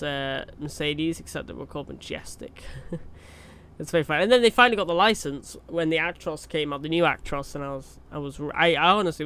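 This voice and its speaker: A man's voice: on the high side, a bit bright, clear, resonant tone. A young man with a cold, chatting casually over a truck driving game, rambling with fillers and restarts. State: has a cold. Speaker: gaming YouTuber. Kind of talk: casual gameplay commentary.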